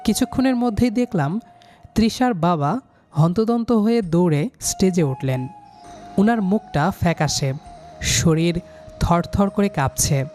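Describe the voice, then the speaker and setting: A man's voice: sits high, lively intonation, measured pace, pauses often, a little mellow. A man narrates a romantic story in the first person with emotive, dramatic delivery. His voice is scripted storytelling throughout, with music underneath.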